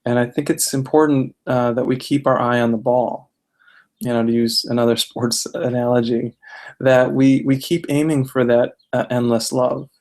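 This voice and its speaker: Even-toned man in easy spiritual chat. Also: mid-range voice, moderate pace. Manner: conversational, many "you know" fillers, self-deprecating. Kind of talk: casual spiritual discussion.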